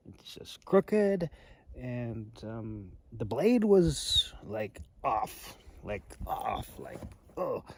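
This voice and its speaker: A man's voice: medium pitch, a little mellow, no echo. A man in his thirties casually reviewing a product outdoors, wry and hesitant, often trailing off mid-thought. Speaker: amateur knife reviewer. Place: outdoors in a snowy open river valley. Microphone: phone at arm's length. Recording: outdoor phone recording with background noise.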